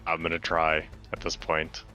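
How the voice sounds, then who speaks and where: A man's voice: a bass voice, completely flat delivery, normal speed. A man playing a tabletop game and talking casually. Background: soft music.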